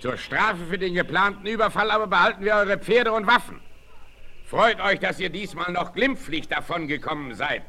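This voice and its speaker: An actor playing a stern, fair-minded frontier leader in a radio play, declaiming with booming authority. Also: male voice, lively intonation, moderate pace, bright, forceful tone, heavily acted. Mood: stern proclamation. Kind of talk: 1960s German radio play acting. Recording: faint noise, old vinyl record.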